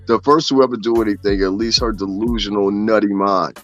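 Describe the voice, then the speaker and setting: A man's voice: fairly low pitch, fairly even intonation, fairly quick, muffled recording, faint music behind. A man ranting profanely in casual gossip commentary, often asking if his listeners understand what he's saying. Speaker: commentary streamer.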